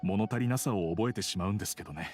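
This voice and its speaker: A man's voice: low voice, racing, music in the background, natural voice. A man playing an earnest, polite and devoted middle-aged family man in a video game, a little wistful.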